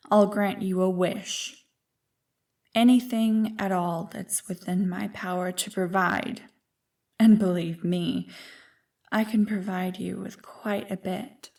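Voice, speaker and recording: Woman acting a slow, teasing ancient evil creature. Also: medium pitch, a little mellow, far from the mic. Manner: slow, teasing, condescending, savoring words. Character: ancient nefarious evil creature, female, playful, condescending, manipulative. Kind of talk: ASMR roleplay, scripted monologue to the listener. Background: music.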